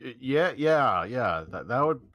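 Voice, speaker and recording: A man's voice: mid-range voice, very animated, unhurried, dry recording, highs cut off. A man voicing a dopey, agreeable teenage boy who stammers hesitantly through his lines.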